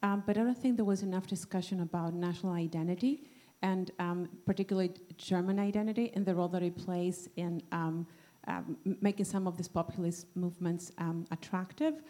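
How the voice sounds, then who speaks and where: Mellow-voiced woman from Greece asking a question. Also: lowish voice, steady tone, fairly quick. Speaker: audience questioner at a panel discussion. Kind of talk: spontaneous public question.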